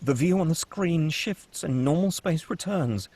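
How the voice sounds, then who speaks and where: Brief: table read narrator, matter-of-fact, husky voice. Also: male voice, a little high, a little slow, no room sound. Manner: steady, matter-of-fact reading. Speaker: narrator reading stage directions.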